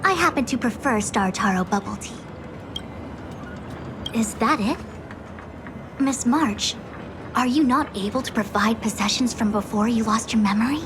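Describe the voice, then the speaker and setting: A woman's voice: fairly high pitch, background noise, natural voice. Woman as a composed, formal diviner master. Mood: prim. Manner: formal, measured, precise. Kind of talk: video game voice acting.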